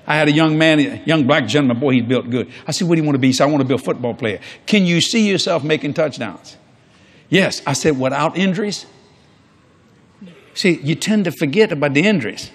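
A man's voice: a little high, breathy edge. An older man preaching a sermon on a large church stage before a congregation, folksy and jokey, acting out quoted people in different voices.

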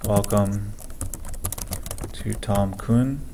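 A man with a low, gravelly voice walks through a coding tutorial, reading each line of code aloud in a flat, unhurried way. Faint background noise and keyboard typing sit behind him. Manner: slow, halting, dictates code aloud with many pauses. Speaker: programming teacher. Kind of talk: screen-recorded coding tutorial.

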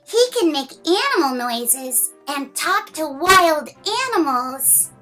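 A woman's voice: high-pitched, animated, takes it slowly, bright, forceful tone, soft background music, rough recording. A woman in her thirties reading a picture book aloud to young children, playful and exaggerated, asking the listeners questions.

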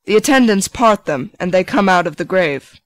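A woman's voice: mid-range voice, lively intonation, normal speed, no room sound, rough recording. A woman reading stage directions as a scripted audiobook narrator.

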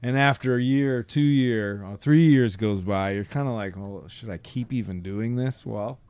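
Low-voiced man, friendly podcast host. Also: moderate pace, a little mellow, smooth, no room sound. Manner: friendly, laughs often, reflective. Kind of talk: casual interview chat.

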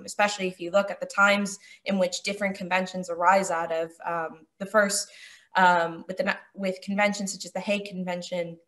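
A young American woman, a graduate student, answering interview questions on a video call, pausing and restarting as she thinks. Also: medium pitch. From Pennsylvania, United States. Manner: thoughtful, halting with restarts. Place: small home room with sloped ceiling.

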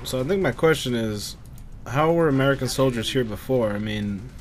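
A man talks casually as he plays a war game. He rambles and swears freely in a medium-pitched voice, with gunfire clearly audible behind him. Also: normal speed, noisy background. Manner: profane, rambling. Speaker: gamer, let's play commentator. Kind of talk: casual gameplay commentary.

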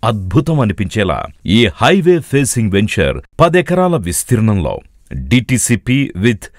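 A man's voice: fairly low pitch, sing-song, normal speed, dry recording, studio quality. A man reading a scripted promotional voice-over as an advertisement announcer.

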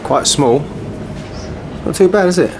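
A man's voice: fairly high pitch, expressive, unhurried. A man, chatting jokily and cheerfully while vlogging a cruise on a handheld camera. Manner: chirpy, jokey, upbeat. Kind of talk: casual travel vlog. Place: cruise ship, indoors and on deck. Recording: loud crowd and background noise.

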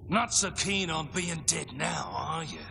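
Gravelly, taunting Australian rogue. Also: male voice, a little high, lively intonation, measured pace, very noisy, full character voice. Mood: mocking. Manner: taunting, slangy. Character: sly, mocking male cyborg mercenary, rough and gloating. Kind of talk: video game cutscene acting. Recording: music under the voice.

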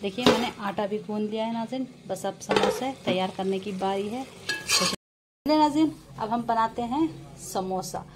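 A woman with a mid-pitched voice walks viewers through a recipe step by step in a casual way. She speaks to them directly and often repeats her instructions. Clear kitchen noise runs behind her, with clanking pots, stirring and sizzling. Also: normal speed. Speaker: home cook and vlogger presenting a recipe. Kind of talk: casual step-by-step cooking narration. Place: home kitchen. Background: pots clanking, frying and stirring.

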